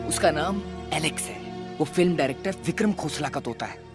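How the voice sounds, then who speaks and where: A man voicing a forgetful, muddled bird in an animated comedy, dithering and repeating himself. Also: fairly high pitch, moderate pace, over loud music, natural voice. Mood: informative, admiring. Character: scatterbrained, forgetful male bird, comic uncle. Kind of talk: animated film comic voice acting.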